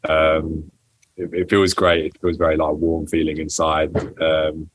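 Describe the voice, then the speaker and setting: A young man speaks in a deep, low voice, recorded dry and close. He answers an interviewer casually, often restarting his sentences. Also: completely flat delivery, measured pace, husky, no room sound, rough recording. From England. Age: young adult. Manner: hesitant, many restarts and ums, laughs often. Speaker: footballer guest.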